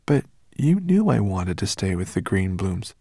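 A man voicing an earnest, gentle young boy in a storybook, sincere and kind. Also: low voice, sing-song, a little slow, dry recording, studio quality, full character voice. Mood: concerned, reassuring.

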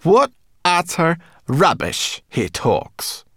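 A man voicing a grumpy, bossy female forest creature in a storybook, full of scornful grumbling and quick to panic. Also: medium pitch, no echo, clean studio sound, pitched above the performer's own voice, natural voice. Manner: scornful exclamations and colourful grumbling.

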